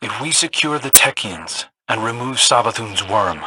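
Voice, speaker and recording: An actor playing an earnest, wry male hero in a sci-fi video game, with a husky voice and a casual, slightly awkward manner. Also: lowish voice, animated, normal speed, background noise, close to an everyday voice. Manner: casual, questioning, a little awkward.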